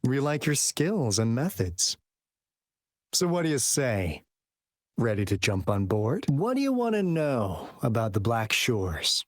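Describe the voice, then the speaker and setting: A man's voice: mid-range voice, very animated, unhurried, dry recording, studio quality. A man voicing a confident, casual young agent in a video game, explaining things in a conversational way. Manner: conversational, expository, breezy. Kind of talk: video game dialogue acting.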